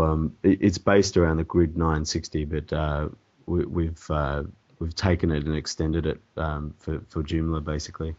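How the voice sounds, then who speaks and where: A man with a cold and a croaky, hoarse voice, answering interview questions hesitantly with many ums and restarts. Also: extremely low pitch, darkish tone. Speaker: template developer guest. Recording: dull lo-fi audio.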